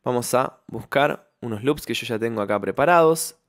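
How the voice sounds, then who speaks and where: A young man explaining music software in a tutorial, close on the mic in a home studio. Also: mid-range voice, normal speed. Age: young adult. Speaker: music production tutorial host. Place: small home studio with foam panels. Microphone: close studio microphone on a stand, with headphones.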